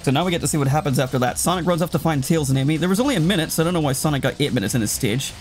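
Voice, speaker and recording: A man with a slightly high voice commentates a video game. He rambles casually from one tangent to another and talks to the game as he goes. Game music plays behind him. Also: expressive, racing. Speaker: let's player commentating a game.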